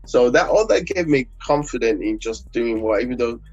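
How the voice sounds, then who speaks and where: A Nigerian man, a gospel singer, talking in a relaxed interview, rambling with many fillers and restarts. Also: mid-range voice, normal speed, breathy edge, no echo. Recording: dull lo-fi audio with faint music.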